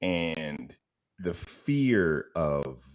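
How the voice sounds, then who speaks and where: A man's voice: very deep voice, sing-song, drawn-out words. A man co-hosting a fan podcast, chatting casually and offering commentary. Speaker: podcast co-host and actor.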